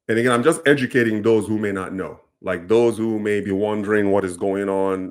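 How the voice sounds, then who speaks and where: A Nigerian man talking casually to camera, explaining things earnestly, with plenty of ums and restarts. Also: low-pitched. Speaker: commentator.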